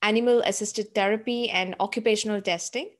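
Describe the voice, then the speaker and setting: A woman's voice: medium pitch, steady tone, fairly quick. A woman hosting an interview, asking her questions hesitantly with many fillers. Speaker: interview host.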